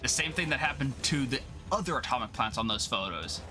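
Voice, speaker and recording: A man's voice: medium pitch, expressive, a little fast, music in the background, close to an everyday voice. A man voicing an earnest, declamatory scientist and team leader in a dramatic comic-book reading. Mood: urgent. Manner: declamatory, urgent. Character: commanding, earnest male scientist hero, melodramatic team leader.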